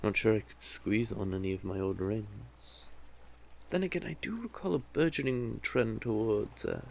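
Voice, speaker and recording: A man's voice: fairly low pitch, normal speed, creaky. A man playing a cursed, tentacled male sea witch in an audio roleplay, coaxing and stately, doting yet commanding. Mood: wistful, vain. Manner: coaxing, stately, elaborately worded. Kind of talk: scripted audio roleplay addressed to the listener. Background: ambient sound effects.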